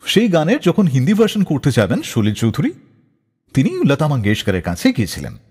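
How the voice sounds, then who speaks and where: A man telling scripted stories as a radio show host, in a dramatic, expressive way. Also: on the high side, fairly quick, breathy edge, in a large hall.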